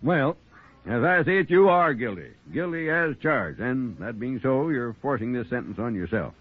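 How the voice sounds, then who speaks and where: An actor playing a folksy, exasperated village judge with an American accent and homespun talk, in 1940s radio drama style. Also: male voice, medium pitch, lively intonation, normal speed. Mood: reluctant, exasperated. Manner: folksy, homespun rural way of talking. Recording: scratchy, husky-sounding 1940s radio recording, phone-like.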